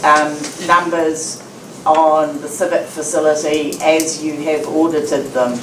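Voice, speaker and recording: A woman speaking in a council meeting, deliberate and measured as she restates a question. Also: sits low, level tone. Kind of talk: meeting discussion. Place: council chamber. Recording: echoey chamber from distant mic, faint noise.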